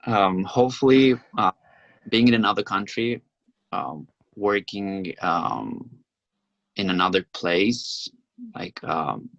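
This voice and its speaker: A young man from Romania answering exam questions in a halting way, with frequent ums. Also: low-pitched, vocal fry, fairly bright tone, dry recording, highs cut off. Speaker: exam candidate.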